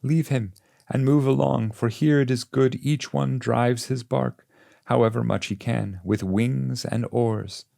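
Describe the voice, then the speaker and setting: A man's voice: mid-range voice, steady tone, unhurried, vocal fry, mellow, no room sound, studio quality, voice pushed up. A man voicing a wise poet guide who admonishes his pupil in formal, instructive words, in an audiobook reading. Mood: instructing. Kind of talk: literary audiobook character reading.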